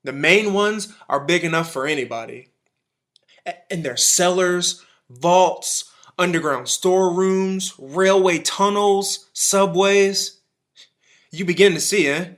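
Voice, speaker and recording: A man's voice: high-pitched, takes it slowly, fairly bright tone. An actor playing an embittered, zealous militiaman who survived an alien invasion, in a radio drama, speaking in emphatic, folksy, clipped phrases. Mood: fervent, scheming.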